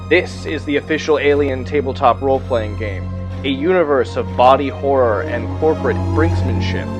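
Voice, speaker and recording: A man hosting a tabletop gaming channel, presenting to viewers in a playfully theatrical way. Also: mid-range voice. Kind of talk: casual presentation to viewers, with some read-out game text.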